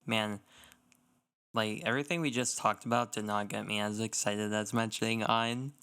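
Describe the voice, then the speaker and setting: A man's voice: fairly low pitch, fairly even intonation, normal speed, pushed and bright, clean tone. A young man co-hosting a casual gaming podcast, speaking in an enthusiastic, self-mocking, slangy way. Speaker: podcast co-host.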